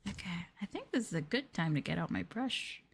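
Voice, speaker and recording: A woman streamer talking softly and gently in an ASMR live chat, often dropping into a whisper, close to the microphone. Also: on the low side, big pitch swings, fairly quick, creaky, a little hiss or hum. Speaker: VTuber streamer. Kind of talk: soft-spoken ASMR livestream chat.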